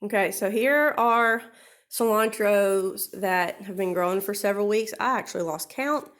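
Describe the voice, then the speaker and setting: A woman's voice: medium pitch, moderate pace, fairly bright tone. A woman talks through a homemade gardening demonstration in a loose, chatty way, correcting herself as she goes. Her voice is stuffy and nasal because she is getting over a cold. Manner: chatty, rambling, with many ums and self-corrections. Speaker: vlogger. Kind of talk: casual how-to demonstration.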